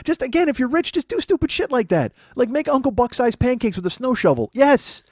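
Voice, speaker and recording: A man hosting a podcast, riffing in a profane, excitable comedic monologue into a studio microphone. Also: extremely high pitch, brisk, dry recording. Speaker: podcast host.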